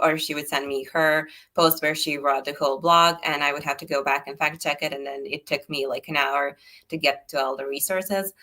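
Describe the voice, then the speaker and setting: A young woman from Serbia, a startup founder, chatting in a relaxed way as a guest on a video call interview. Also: low-pitched. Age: young adult. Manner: relaxed, chatty, frequent "like" fillers. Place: indoor room, bright wall behind.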